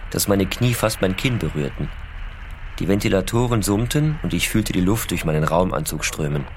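A man playing an American astronaut father, reading letters to his small son in a measured, reflective way. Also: sits low, background noise, natural voice. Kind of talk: scripted reading of personal letters.

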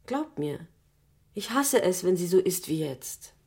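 An actress playing a sensitive, defensive young granddaughter in a radio drama, at times soft and breathy. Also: female voice, on the low side, big pitch swings, unhurried, full character voice. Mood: apologetic, frustrated.

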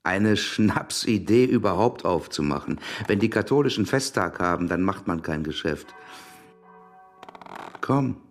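An insinuating middle-aged shopkeeper with a very deep voice. He is casual, familiar and coaxing with a predatory edge, in period radio drama style with faint music underneath. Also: lively intonation, a little slow.

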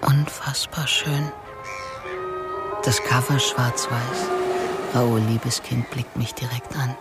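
An actress playing a composed, relentless police investigator in a German radio drama, speaking in a measured, deliberate way. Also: female voice, extremely low pitch, fairly even intonation, loud music behind the voice. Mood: hushed, reflective. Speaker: interrogator and narrator. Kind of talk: German radio drama acting with introspective narration.

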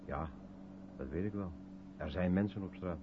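Actor for musing, probing man, very low voice, deliberate and wry, noisy thin-sounding 1970s radio drama. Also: narrow, tinny audio, natural voice. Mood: musing, uneasy. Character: reflective, sceptical South African man of colour, probing. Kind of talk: 1970s Dutch radio play acting. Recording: thin, noisy old radio recording with hum.

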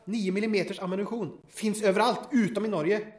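A man's voice: high-pitched, fairly even intonation, lightly acted. A man playing a squeamish, nervous amateur investigator in an audio drama. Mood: excited, insistent. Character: squeamish, queasy male amateur investigator, eager to conclude. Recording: roomy, echoing sound.

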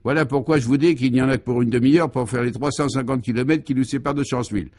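A man's voice: medium pitch, steady tone, racing, a little mellow, lightly acted. A man acting out an assured, sententious driver in a comic radio serial, explaining absurdities matter-of-factly. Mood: patient, assured.

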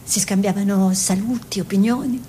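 An actress playing an impulsive, gossipy, tenderly scolding artist wife in an Italian radio drama, with a theatrical delivery. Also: female voice, mid-range voice, fairly even intonation, moderate pace, slight background noise, close to an everyday voice. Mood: reminiscing. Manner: theatrical, shifting between exclamations and soft musing.

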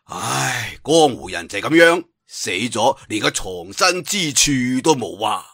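A man with a Cantonese accent voicing a proud, irritable old swordsman in an audiobook, loud, teasing and boastful. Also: medium pitch, very animated, a little slow, slightly rough, no echo, studio quality, full character voice. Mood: world-weary.

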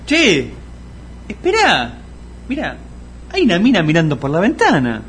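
An actor playing an eager, naive, encouraging sidekick in a radio comedy, with a Rioplatense Argentine accent. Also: male voice, high voice, wildly swooping pitch, a little slow, fairly bright tone, a little hiss or hum, heavily acted. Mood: excited. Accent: Rioplatense Argentine Spanish.

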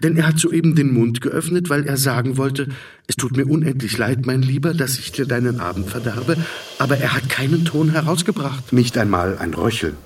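A man's voice: mid-range voice, lively intonation, moderate pace, slightly rough, recorded from a distance. A man narrating a literary audio drama from a script.

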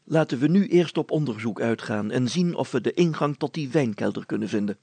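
A man voicing a pompous, fussy older village mayor in a storybook, formal, deliberate and full of hesitant hemming. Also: medium pitch, lively intonation, gravelly, dark tone, breathy edge, dry recording. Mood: pondering, reassuring.

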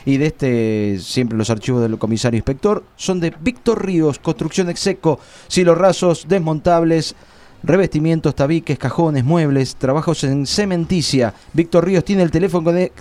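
A man's voice: on the high side, darkish tone. A man with an Argentine accent presenting live on the radio as host.

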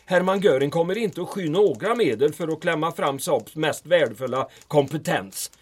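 A man's voice: a little high, expressive, husky. En man som spelar en högtidlig ämbetsman i ett radiodrama, korrekt och stram i tonen. Rösten ligger lite ljust. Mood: grave. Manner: formal, grave, indignant. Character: worried stiff Swedish official, male, dutiful. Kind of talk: audio drama acting.

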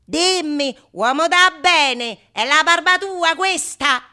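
A woman's voice: high voice, bright, forceful tone, pitched above the performer's own voice, heavily acted. A woman playing a pompous male captain of the watch in a theatrical Renaissance comedy, officious and commanding. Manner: officious, commanding, drawn-out calls.

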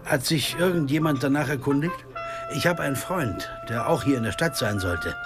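Male actor as jazz pianist sleuth, radio crime drama, laconic, observant, animated intonation, music behind. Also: mid-range voice, moderate pace, close to an everyday voice. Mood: probing. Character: male jazz pianist narrating his own detective story. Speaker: narrator and lead character. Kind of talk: first-person crime radio drama narration and dialogue.

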